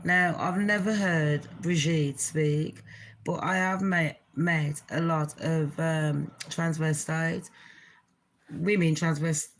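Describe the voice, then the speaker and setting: A woman with a deep voice hosting her own channel, giving blunt, swearing, chatty commentary. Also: steady tone, slow. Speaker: channel host. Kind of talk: casual reaction commentary.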